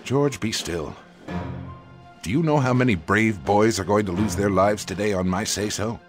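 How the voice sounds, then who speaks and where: An actor playing a calm, stern American army general giving measured, solemn speeches in a game cutscene. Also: male voice, lowish voice, lightly acted. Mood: firm. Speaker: supreme commander. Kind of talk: video game cutscene acting. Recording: over background music.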